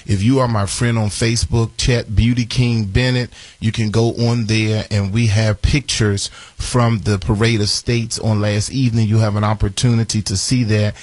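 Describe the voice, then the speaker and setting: A man in his forties with a raspy voice, hosting a live morning radio show and chatting through announcements with lots of fillers and restarts. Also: fairly low pitch, little pitch movement, moderate pace, hardly any pauses, a little mellow, no room sound. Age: 40s. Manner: chatty, repeats phone numbers, many fillers and restarts.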